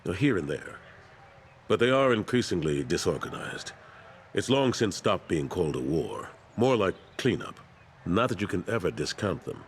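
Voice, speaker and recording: Very deep-voiced older military statesman. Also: big pitch swings, moderate pace, slight background noise. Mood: matter-of-fact. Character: gruff ageing military councilor, world-weary but steadfast man. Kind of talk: video game dialogue.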